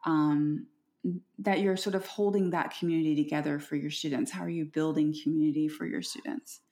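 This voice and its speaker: A woman's voice: low-pitched, fairly even intonation, fairly quick. A woman interviewer in casual conversation, chatty and affirming as she shares stories and asks questions. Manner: warm, affirming, chatty storyteller.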